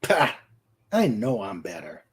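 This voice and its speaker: A man's voice: on the low side, wildly swooping pitch, takes it slowly, voice pushed down, full character voice. A man voicing a haughty, boastful teenage boy in a dramatic novel reading. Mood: indignant, boastful.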